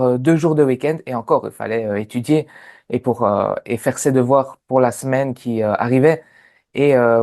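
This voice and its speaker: Interview guest: Sicilian-accented man in his thirties, mellow tone, relaxed storytelling with lots of pauses. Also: medium pitch. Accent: Sicilian Italian. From Sicily, Italy. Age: 30s. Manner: many hesitant fillers.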